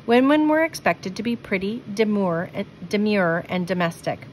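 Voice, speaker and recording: A woman reading a children's picture book aloud, with expressive, deliberate phrasing. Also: mid-range voice, a little hiss or hum, low-quality recording. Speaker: storybook reader.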